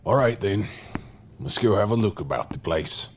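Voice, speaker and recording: An actor as a husky, commanding gentleman. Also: fairly low pitch, expressive, moderate pace. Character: commanding English older man of the house, calmly authoritative. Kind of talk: audio drama acting.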